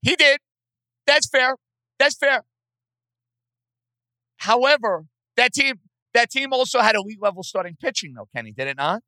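This is a sports talk host who pushes his opinions hard and repeats himself for stress. His voice sits high and swings widely in pitch, with a bright, forceful edge. The sound is dry and close. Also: expressive, moderate pace, pauses often, dry recording.

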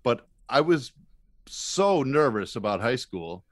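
A man's voice: medium pitch, very animated, slow, dry recording, studio quality. A man with an American accent telling self-deprecating stories in a casual, comic way as a podcast guest.

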